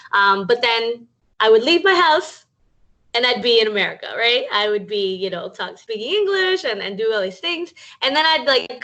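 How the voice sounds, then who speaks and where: Young woman from Cuba, chatty talk over a video call, medium pitch, lots of ums. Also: fairly bright tone. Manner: chatty, many um fillers. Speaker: guest speaker.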